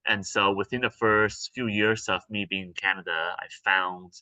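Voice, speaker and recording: A young man from Taiwan, answering interview questions in a relaxed, hesitant way with many ums and restarts. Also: on the low side, moderate pace, dry recording. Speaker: interview guest, actor.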